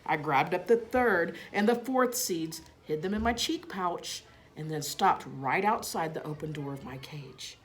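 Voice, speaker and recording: A woman's voice: on the low side, expressive, unhurried, few pauses. A woman voicing a clever, kindly, self-satisfied little classroom pet who narrates a storybook.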